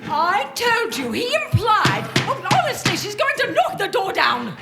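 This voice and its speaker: A woman's voice: extremely high pitch, huge pitch swings, a little slow, loud music behind the voice, exaggerated voice. An actress playing a bossy, snobbish, scheming mother with imperious melodrama in a live radio play. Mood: bossy, flustered. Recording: roomy live stage, clear noise.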